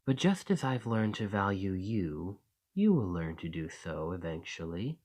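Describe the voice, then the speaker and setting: Slow, low-voiced possessive shapeshifter played by a man. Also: big pitch swings, heavily acted. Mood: earnest, possessive. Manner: coaxing, deliberate, softly menacing. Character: sinister possessive male shapeshifter monster, coaxing and menacing. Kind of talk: ASMR roleplay, intimate dramatic monologue.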